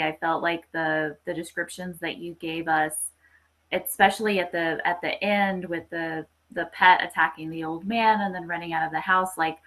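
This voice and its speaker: A woman's voice: on the low side, pushed and bright, poor audio. A woman hosting a podcast in casual literary discussion, often repeating phrases as she gathers her thoughts. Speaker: podcast host.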